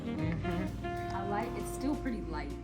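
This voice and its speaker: A young woman vlogging in a chatty, casual way, her voice raspy from a sore throat, laughing often and trailing off with fillers. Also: medium pitch, expressive, slow, over loud music. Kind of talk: casual vlog chat.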